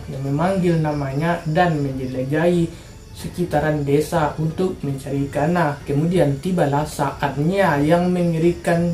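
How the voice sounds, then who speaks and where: A woman's voice: sits low, dark tone, roomy sound. A woman from Indonesia telling true-crime stories for her channel in a casual, slangy narrating style.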